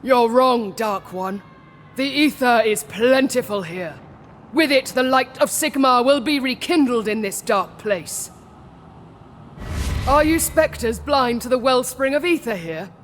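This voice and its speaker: An actress playing a righteous, defiant warrior commander in a video game cutscene, solemn and declamatory. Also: female voice, a little high, animated, unhurried, big-room sound, a little hiss or hum.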